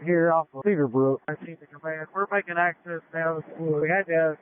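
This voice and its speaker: A man's voice: a little high, fairly even intonation, normal speed. A man working as an emergency responder, talking informally over a noisy, narrowband fire radio.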